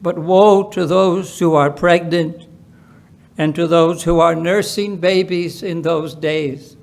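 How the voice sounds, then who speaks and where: A man with a notably high-pitched voice reads from the Bible at a very slow pace. His delivery is solemn and deliberate, setting phrases apart with pauses and leaning on them for emphasis. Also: fairly even intonation, creaky. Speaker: Bible reader at a church. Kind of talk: solemn scripture reading.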